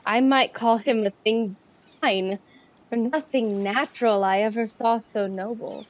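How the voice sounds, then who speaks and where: An actress playing an innocent, wonderstruck young maiden, quick to love, in a Shakespearean audio drama. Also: female voice, on the high side, steady tone, dull audio, lightly acted.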